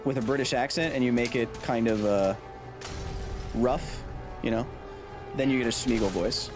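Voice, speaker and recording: A man doing live gameplay commentary, goofy and excitable, who shouts at the game. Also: medium pitch, normal speed, over music. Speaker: streamer.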